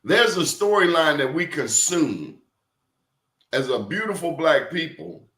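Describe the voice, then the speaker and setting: A man's voice: fairly high pitch, lively intonation, unhurried, a bit bright, reverberant. A man talking as a professor guest in casual conversation, telling emphatic stories and throwing out rhetorical questions.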